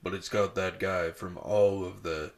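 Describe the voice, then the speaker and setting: A man co-hosting a casual movie podcast, laid-back and soft-voiced, filling his talk with "like.". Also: deep voice, fairly even intonation, unhurried. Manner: laid-back, says "like" a lot, self-described soft voice. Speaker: podcast co-host. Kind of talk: casual movie review chat.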